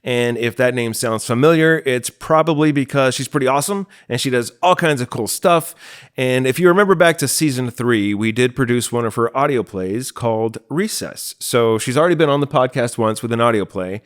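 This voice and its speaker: Podcast host: American male, medium-pitched, casual and conversational in delivery. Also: a little fast. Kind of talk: casual chatty podcast introduction.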